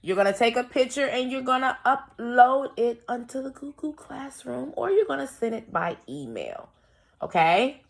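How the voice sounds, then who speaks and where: Woman teacher with very animated pitch. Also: moderate pace, creaky. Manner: instructive, repeats questions and prompts listeners. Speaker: teacher reading aloud to young children. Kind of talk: read-aloud lesson with questions and word explanations.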